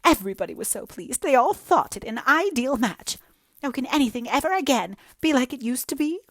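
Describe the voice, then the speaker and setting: A woman's voice: sits high, lively intonation, fairly quick, dry recording, pitched above the performer's own voice. A woman reading a book aloud and voicing a melodramatic, self-pitying young woman with gushing, theatrical talk. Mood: wailing. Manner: gushing, theatrical, breathless overstatement.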